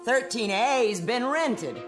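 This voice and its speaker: A woman's voice: on the high side, lively intonation, takes it slowly, music in the background. An actress in a radio drama playing a wary city landlady, curt and businesslike.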